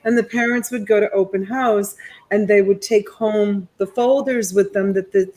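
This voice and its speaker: A middle-aged woman from Italy, whose first language is Italian, tells a personal story at a medium pitch. She hosts a casual live chat and rambles through her story with fillers and restarts. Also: fairly even intonation, normal speed, mellow. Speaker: host.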